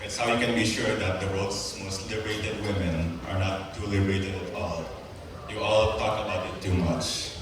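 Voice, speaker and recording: A young man acting a courteous, self-assured, gently teasing young Nigerian student in an amateur stage play. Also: sits low, steady tone, moderate pace, noisy background, close to an everyday voice. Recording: echoey hall from far off, music behind.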